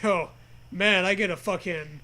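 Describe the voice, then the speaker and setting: A man trading casual comedic banter and reading aloud, joking in a deadpan, profane way. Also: sits high, unhurried. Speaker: co-host of a comedy reading show.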